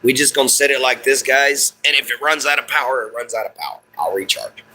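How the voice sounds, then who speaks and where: Booming man chatting on a phone stream. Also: fast, pushed and bright, a little hiss or hum. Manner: laid-back, rambling, apologetic. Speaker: livestreamer. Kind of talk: casual live stream chat. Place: home room with kids and dogs. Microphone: phone propped on a table.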